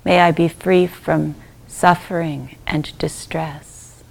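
A woman leads a guided meditation in a soft, fairly low voice with plenty of creak in it. She speaks very slowly and gently, leaving long pauses between short phrases, and her endings trail into breathiness. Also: fairly even intonation, poor audio. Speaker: meditation teacher leading a group. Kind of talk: guided loving-kindness meditation.

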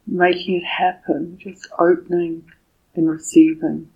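Woman, meditation teacher, very unhurried, even and flat intonation, soft husky voice, gentle pauses, guided meditation. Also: fairly low pitch, muffled recording. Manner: gentle, deliberate, with long pauses between short phrases.

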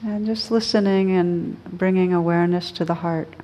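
A woman teaching meditation, guiding listeners gently and unhurriedly, with pauses between short phrases. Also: lowish voice, steady tone, low-quality recording. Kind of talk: guided meditation.

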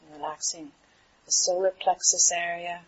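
A woman meditation teacher guiding a relaxation in a soothing, unhurried manner with trailing pauses. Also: lowish voice, little pitch movement. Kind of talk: guided meditation. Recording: dull, muffled recording with clear background noise.

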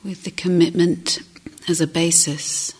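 Breathy, very slow woman guiding meditation. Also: fairly low pitch, flat delivery. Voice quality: breathy, husky, often near a whisper. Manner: slow, gentle delivery with long pauses. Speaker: meditation teacher. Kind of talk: guided meditation.

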